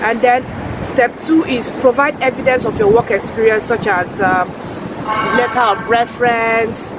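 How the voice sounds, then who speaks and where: A hoarse woman vlogs from a city street, her voice strained by a sore throat. She explains step by step in an earnest, halting way, often restarting her sentences. She sits far from the mic, with loud traffic and street noise all around. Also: on the high side, fairly even intonation. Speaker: vlogger. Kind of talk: casual informational vlog. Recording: noisy street recording, distant from mic. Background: traffic and urban ambience.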